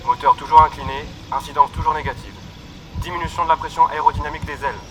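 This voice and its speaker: A man's voice: a little high, fairly even intonation, quick pace, close to an everyday voice. A young man playing a focused spacecraft flight controller in an audio drama, giving clipped technical callouts. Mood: focused, urgent. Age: young adult. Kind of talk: scripted sci-fi audio drama. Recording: faint noise, vehicle ambience.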